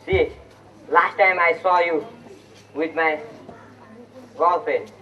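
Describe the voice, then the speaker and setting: A petulant, proud young suitor in a school play, acted by a young man. His voice is a bit high, and the recording is dull and distant. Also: slow pace. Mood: indignant. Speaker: student actor. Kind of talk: amateur stage play acting. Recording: muffled, distant mic, noisy room.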